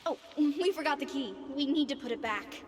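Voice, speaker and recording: A woman's voice: high voice, lively intonation, normal speed, a little hiss or hum. A woman with an American accent playing a dutiful, exasperated ten-year-old child in a video game flashback. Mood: anxious, scolding. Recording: echoey long reverb flashback effect.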